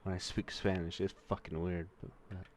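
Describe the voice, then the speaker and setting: A man's voice: low-pitched, little pitch movement, normal speed. A young man with a Mexican-American accent, casually swearing and thinking aloud as he works through coding exercises on a stream. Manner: profane, rambling, thinks aloud while typing. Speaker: streamer learning to code.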